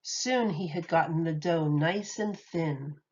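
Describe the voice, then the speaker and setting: A woman teacher reading a children's picture book aloud in an expressive, lively way, in a slightly muffled recording. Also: low-pitched, slow pace, slightly rough.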